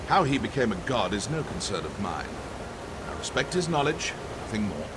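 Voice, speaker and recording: A man's voice: fairly low pitch, big pitch swings, moderate pace, background noise. A man playing an arrogant, cryptic elven sorcerer scholar in a video game, theatrical, condescending and dryly sardonic. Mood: sardonic, musing. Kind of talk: scripted video game dialogue. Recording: music under the voice.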